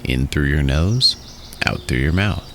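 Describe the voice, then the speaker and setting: A man narrating a guided relaxation in a soothing, instructional way, in short phrases with pauses. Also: very deep voice, expressive, fairly bright tone, slight background noise. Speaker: guided meditation host. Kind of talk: guided meditation and hypnosis narration. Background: music.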